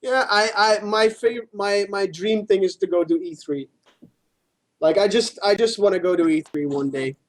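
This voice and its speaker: A man's voice: high voice, normal speed. A man co-hosting a gaming talk show, explaining news in a casual, informal way.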